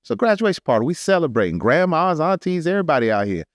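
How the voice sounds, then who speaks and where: A man's voice: fairly high pitch, sing-song, fast, no echo, studio quality. An American man co-hosting a podcast, giving casual commentary in an emphatic, slangy and somewhat preachy manner. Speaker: podcast co-host.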